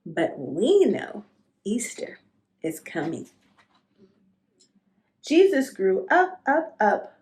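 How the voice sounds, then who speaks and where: A woman reads aloud for young children in an expressive storybook style. Her voice sits at a medium pitch and moves slowly, giving each phrase plenty of time. She speaks as a read-aloud host addressing little listeners directly.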